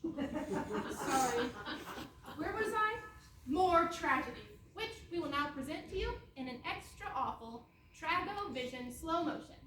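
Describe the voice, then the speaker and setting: A woman's voice: high-pitched, lively intonation, measured pace, fairly bright tone. A woman playing a wry, informal narrator of a mock-tragic fairy-tale comedy in live readers theatre. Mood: mock-grave. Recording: very echoey room, distant mic, noisy.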